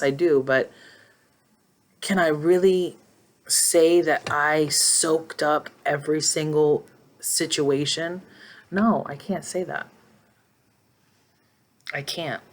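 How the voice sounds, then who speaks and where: A woman in her forties talking casually and emotionally to her phone in a personal vlog, rambling and reflective. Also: rumbling low. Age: 40s. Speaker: vlogger. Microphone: phone held in hand.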